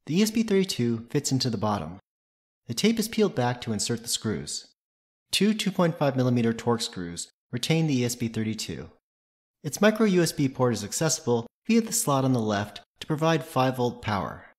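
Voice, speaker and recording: A man's voice: medium pitch, very animated, a little fast, a little mellow. A man presenting a tutorial, reading scripted technical narration in a methodical, matter-of-fact way.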